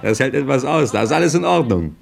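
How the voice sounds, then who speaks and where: An animated mock football commentator. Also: male voice, mid-range voice, quick pace, creaky. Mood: playful. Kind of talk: mock sports commentary in radio play.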